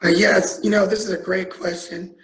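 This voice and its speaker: A man's voice: high-pitched, level tone, normal speed, a bit bright. A man whose first language is Italian, answering as a mayoral candidate at a town forum, telling a personal story. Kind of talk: forum answer over video call. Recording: echoey room on a video call.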